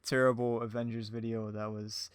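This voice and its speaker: A man with a mid-pitched voice talks casually as a podcast guest. He rambles in a giggly, self-deprecating way, leaning on "like" as he goes. The recording is very dry. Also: little pitch movement, moderate pace, clear, resonant tone, no room sound. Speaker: podcast guest, hobby audio drama creator. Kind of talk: casual chat, telling anecdotes.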